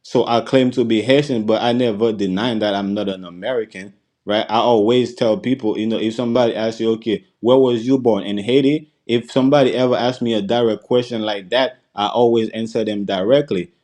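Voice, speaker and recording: A man from the Virgin Islands with an island accent, speaking as a guest in a casual live-stream chat, repeating himself defensively with many halting restarts. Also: lowish voice, fairly even intonation, a little mellow. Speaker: panel guest.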